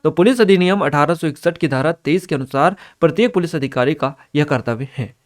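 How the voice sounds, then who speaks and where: Guy, slightly high voice, going thru exam questions and answers like a teacher. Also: a little fast. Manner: instructional, reads notes aloud, checks in with listeners. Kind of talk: exam paper analysis lesson, reading out questions and explaining answers.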